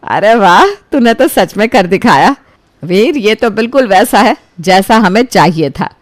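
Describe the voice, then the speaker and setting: A bossy, exclaiming mother of a grown son, voiced by a woman, demanding yet generous. She sounds mid-pitched and dry, in storybook drama style. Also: animated, measured pace, dry recording, close to an everyday voice. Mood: pleased, generous. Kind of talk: animated storybook dialogue.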